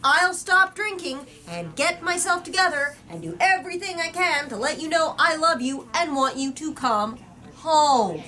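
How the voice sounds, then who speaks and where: A woman voicing a belligerent, wounded drunk father in a storybook reading, pleading and quick to bellow. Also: high voice, animated, pushed and bright, voice pushed up, full character voice.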